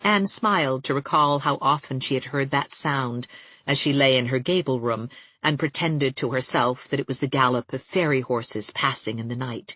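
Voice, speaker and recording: Husky woman narrating over tinny line. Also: rumbling low, moderate pace, no room sound. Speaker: audiobook narrator. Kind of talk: scripted narration of a novel. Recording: tinny phone-like sound.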